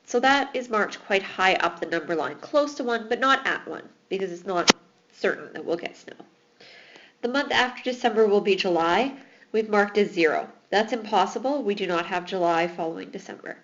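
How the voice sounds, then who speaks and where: A woman's voice: mid-range voice, expressive, normal speed, fairly bright tone, highs cut off, slight background noise. Patient teacher woman losing her voice. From Alberta, Canada. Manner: patient, explanatory. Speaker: math teacher. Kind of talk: recorded classroom lesson.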